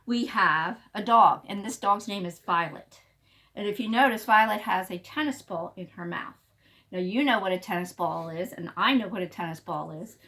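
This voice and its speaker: Very animated woman reading to kids. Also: mid-range voice. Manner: explanatory, expressive, child-directed. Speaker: teacher reading a picture book to young children. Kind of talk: animated read-aloud storytelling.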